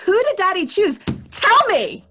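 An actress playing an indignant, hot-tempered young twin sister in an audio horror drama, demanding and exasperated. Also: female voice, very high voice, sing-song, unhurried, a bit bright, a little hiss or hum, exaggerated voice. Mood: angry, exasperated. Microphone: video call.

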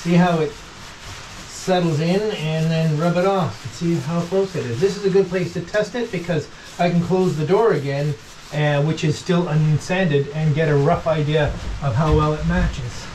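A middle-aged man casually talking through his hands-on work to the camera, with halting pauses as he goes. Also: fairly high pitch, steady tone, darkish tone. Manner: talks haltingly while working, with pauses. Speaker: vlog host, boat restorer. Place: inside a wooden boat in a shed and at its workbench. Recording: noisy workshop recording with clicks.

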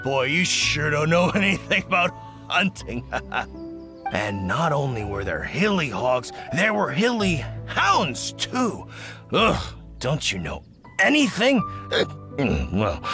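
A man playing a tipsy, jolly middle-aged hunter father in a video game, rambling in halting, slurred speech. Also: medium pitch. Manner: slurred, halting drunken delivery with hiccups. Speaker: game character voice actor. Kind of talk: video game character dialogue.

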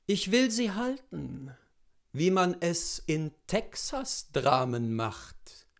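A woman's voice: on the low side, big pitch swings, takes it slowly. A woman gives a mock-serious, declamatory dramatic recitation of lyrics as poetry, drawing out her words. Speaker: reader of literary texts. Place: indoors, room with bookshelves.

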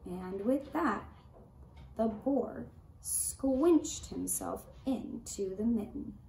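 A woman telling a children's puppet story in a deliberate, expressive way, recorded from a few feet away indoors. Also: on the high side, gravelly. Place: indoor room set up as puppet stage. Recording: roomy echo, faint background noise.

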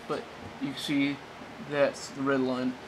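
A man giving a casual, unscripted how-to demonstration in a halting, rambling way, often correcting himself, talking from behind a handheld camera. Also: mid-range voice, completely flat delivery, background noise. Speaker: amateur tech tutorial presenter. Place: small indoor room at a desk. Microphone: handheld camera, off-screen close behind it.

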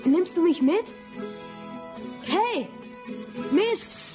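A woman's voice: very high voice, lively intonation, extremely slow, over music, full character voice. A woman playing a dreamy, curious small child in a radio drama, naive and full of wonder. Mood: pleading. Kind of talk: German radio play acting.

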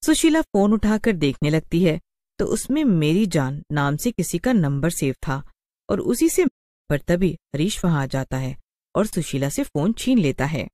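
A woman reading scripted story narration for an animated tale. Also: low voice, measured pace, dry recording, studio quality.

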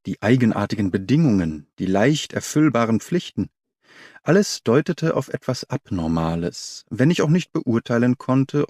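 A man's voice: medium pitch, darkish tone, no echo, studio quality. A man reading scripted literary narration as an audiobook narrator.

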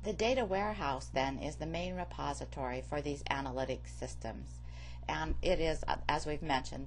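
Low-voiced woman giving a slide lecture. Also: low-quality recording. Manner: measured, explanatory. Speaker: course instructor. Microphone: voice-over over slides. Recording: faint background hum.

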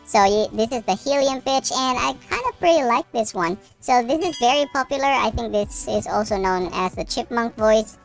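A man using a helium pitch-shift voice changer to sound like a chipmunk while casually demonstrating software. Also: lively intonation, normal speed, creaky, hardly any pauses, soft background music, exaggerated voice. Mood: matter-of-fact.

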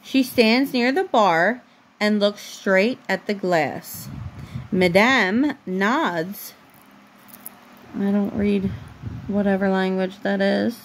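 A mother reads a picture book aloud to her young child. The woman takes her time in a measured storybook delivery. It is a homemade bedroom recording on a phone, with some light noise behind her. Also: medium pitch. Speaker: mother reading a bedtime story. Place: bedroom at home. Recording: noisy home phone recording.

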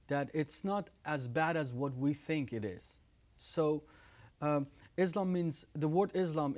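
A man's voice: fairly high pitch, a little mellow. A man in his thirties from Iran with an Iranian accent, earnestly telling his story as a guest in a TV studio on a lapel mic. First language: Farsi. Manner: earnest, gestures with hands while talking. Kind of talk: testimony in a studio interview. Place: TV studio set with living room decor.

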